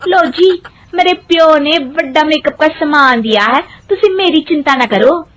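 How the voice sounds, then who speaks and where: Woman voicing a shrill, feisty Punjabi young wife. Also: measured pace, a bit bright, slight background noise. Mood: defiant. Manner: brash, dramatic. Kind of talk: Hindi cartoon storytelling.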